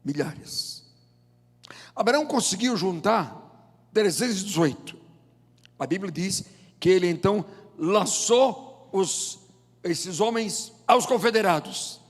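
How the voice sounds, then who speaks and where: A man's voice: sits high, lively intonation, slightly rough. Brazilian pastor in his seventies, reverberant hall. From João Pessoa, Paraíba, northeast Brazil. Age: 70s. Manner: deliberate, emphatic phrasing with rhetorical questions and pauses. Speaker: pastor, preacher. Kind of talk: sermon with scripture reading and prayer. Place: large indoor church auditorium. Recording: long hall reverb of church auditorium.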